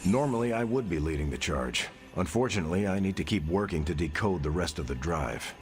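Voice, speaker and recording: An actor playing a dutiful soldier and team leader in a video game cutscene, speaking in a calm, measured way. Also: male voice, deep voice, faint music behind. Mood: earnest. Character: steady, dutiful male soldier-hero leader.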